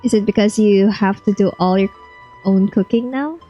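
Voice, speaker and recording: A woman's voice: medium pitch, moderate pace, muffled recording, soft background music. A woman giving casual, blunt and slangy reaction commentary as she plays a video game. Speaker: streamer playing a video game.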